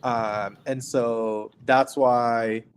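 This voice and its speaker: A man's voice: medium pitch, steady tone, extremely slow, slight background noise. A young man from Cameroon speaking as a physician guest in an interview, telling his story in an informal, conversational way. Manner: informal, conversational, often says "like" and "right?". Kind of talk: casual personal storytelling in an interview.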